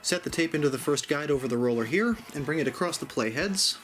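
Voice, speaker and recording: A man's voice: on the high side, expressive, fast talker, fairly bright tone, faint music behind. A man narrating a casual, step-by-step tutorial in a conversational, mildly self-deprecating way. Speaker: host of an audio gear channel.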